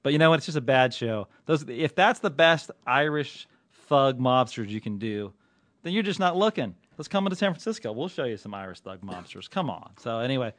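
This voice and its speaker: A man hosting a casual, joking TV podcast, animated and loud, swinging between shouting and mumbling. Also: mid-range voice, fairly quick, no room sound. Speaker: podcast host, TV critic. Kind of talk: casual comedic podcast banter.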